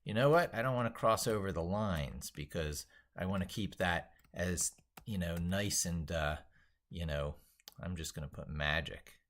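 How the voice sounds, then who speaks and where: A man's voice: sits low, moderate pace, a bit bright. A man hosting a casual, unscripted livestream, rambling as he thinks aloud. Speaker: livestream host.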